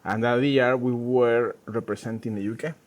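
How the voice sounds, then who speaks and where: A middle-aged man with an Argentine accent, a native Spanish speaker, chatting casually and haltingly as a tango dancer being interviewed. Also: mid-range voice, steady tone. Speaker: professional tango dancer and teacher, interview guest. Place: outdoors in a garden. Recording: noisy outdoor ambience.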